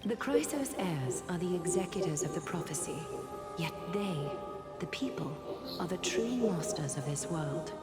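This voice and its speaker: A woman playing a regal, guarded mentor in a fantasy game, speaking in a measured, solemn and restrained way. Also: fairly low pitch, lively intonation, close to an everyday voice. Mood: solemn, composed. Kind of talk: scripted dramatic game voice acting. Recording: echoey reverberant hall, loud music behind. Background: loud music.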